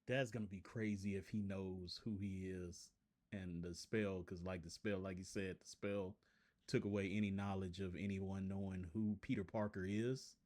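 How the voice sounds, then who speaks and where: A man's voice: deep voice, steady tone, moderate pace, darkish tone. A man hosting a casual reaction video, rambling and restarting his sentences as he talks to his viewers. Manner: rambling, repeats himself, frequent restarts.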